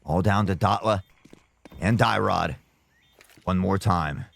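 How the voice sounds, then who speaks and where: A male esports caster with a slightly sore throat, calling play-by-play and erupting into loud shouts on big plays. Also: deep voice, slow, gravelly.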